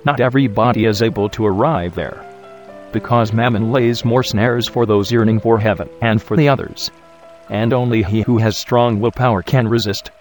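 An actor playing a calm, authoritative spiritual teacher who speaks in a measured, solemn way. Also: male voice, fairly low pitch, soft background music, close to an everyday voice. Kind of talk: radio drama acting.

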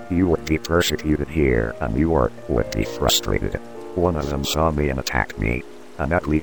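A very deep, gravelly Judas , played by a man, with urgent, pleading speech. His voice sits at the bottom of the range. A clear music score plays under him. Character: agitated impassioned male disciple Judas.

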